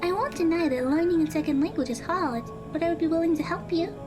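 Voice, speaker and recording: A woman playing an earnest, studious high school girl in an audio drama, speaking in precise, formal phrases over music. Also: fast. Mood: encouraging, helpful.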